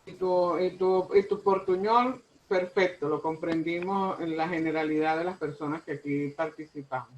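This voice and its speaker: A Venezuelan man hosts a virtual talk, polite and a little halting as he repeats words. His voice is notably high. The recording sounds somewhat dull and lo-fi. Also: fairly even intonation. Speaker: moderator. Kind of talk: hosting a virtual conference. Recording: dull lo-fi audio.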